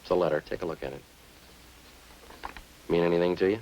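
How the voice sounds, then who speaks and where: Terse detective sergeant, bottom-deep voice, understated, methodical, 1950s radio drama, faint background noise. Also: male voice, fairly even intonation, brisk, a little mellow, dull audio. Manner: clipped, matter-of-fact, understated. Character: terse, methodical male police sergeant interrogating a suspect. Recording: noisy old-time radio recording.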